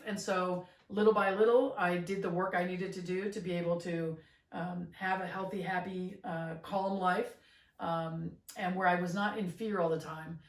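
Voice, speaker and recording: A middle-aged woman co-hosting a podcast, talking in an earnest, gentle way with many fillers and restarts. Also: mid-range voice. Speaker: podcast co-host. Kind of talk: reflective casual chat. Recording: roomy, slightly distant mic.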